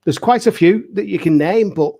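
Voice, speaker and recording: A man's voice: high-pitched, moderate pace, gravelly, dark tone. A man of forty-five speaking casually as a panel guest, earnest and emphatic, often repeating himself for stress. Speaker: pantomime dame and amateur theatre director.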